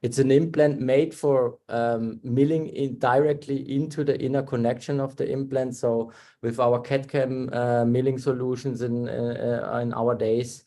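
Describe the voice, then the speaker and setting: A German-born man talks through an online webinar in careful, halting English. He fills his pauses with plenty of ums and uhs and often restarts his sentences. His voice sits at an ordinary middle pitch for a man, picked up by a computer mic on a video call. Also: flat delivery, dark tone. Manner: halting, many fillers and restarts. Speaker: webinar presenter, dental technician.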